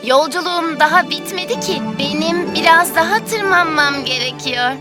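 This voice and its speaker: A woman playing a small, slow, cheerful snail who is patient and sure of herself, in a children's audio storybook. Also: high voice, lightly acted. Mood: determined. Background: music.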